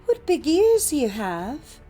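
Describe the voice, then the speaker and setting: A woman's voice: sits high, big pitch swings, slow, warm tone, faint music behind, pitched above the performer's own voice, heavily acted. A woman voicing a trusting, innocent little girl in a fairytale audiobook, with a naive, earnest, polite manner. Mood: amazed, alarmed.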